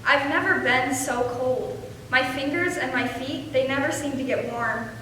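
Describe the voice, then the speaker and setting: A woman's voice: sits high, moderate pace, bright, forceful tone. Woman playing an earnest freezing soldier, big echo. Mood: weary, earnest. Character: earnest, freezing male Civil War soldier writing home. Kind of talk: readers theater letter monologue. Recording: very echoey hall, distant, faint noise.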